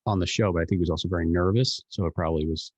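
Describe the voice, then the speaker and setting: A man co-hosting a podcast, chatting in a rambling, animated way with many restarts. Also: low voice, very fast, slightly rough, no room sound. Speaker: podcast co-host. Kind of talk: casual podcast chat.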